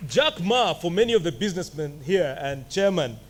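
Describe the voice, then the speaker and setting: A man's voice: a little high, big pitch swings, normal speed, slight background noise. Jovial man giving a commencement speech. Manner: jovial, playful asides to the audience. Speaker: commencement speaker. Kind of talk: graduation address. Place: ceremony hall.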